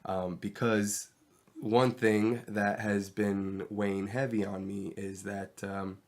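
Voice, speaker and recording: A man's voice: lowish voice, level tone, slow pace, darkish tone. A man hosting his first home podcast, talking candidly and rambling with plenty of ums, his voice stuffy and nasal from a cold, recorded in a bedroom on a cheap mic. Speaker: podcast host. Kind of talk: casual solo podcast monologue. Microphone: inexpensive mic into a laptop.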